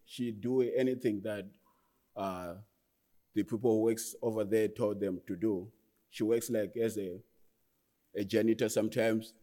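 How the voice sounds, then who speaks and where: Earnest young Zimbabwean man, mellow voice. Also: fairly low pitch, steady tone. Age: 20s. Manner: earnest, halting, restarts phrases. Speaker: guest speaker. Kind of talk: personal testimony talk. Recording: long hall reverb.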